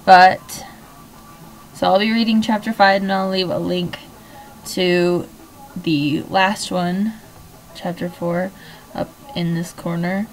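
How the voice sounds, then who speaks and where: A young woman with a hoarse, fading voice, chatting in a rambling way and then reading a novel aloud from home on a webcam. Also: lowish voice, a little hiss or hum, low-quality recording. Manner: rambling, chatty, many fillers. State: hoarse, losing voice. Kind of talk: casual vlog chat and book reading.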